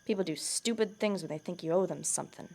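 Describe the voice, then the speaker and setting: A woman's voice: on the low side, fast talker, darkish tone. A woman playing a hard-bitten, scornful rogue in a fantasy audio drama, blunt and sardonic. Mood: blunt, practical.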